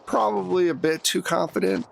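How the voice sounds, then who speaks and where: A man's voice: high voice, steady tone, normal speed, vocal fry, a little hiss or hum. A man narrating a tabletop roleplaying game as game master, describing scenes and putting questions to players. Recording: music behind the voice.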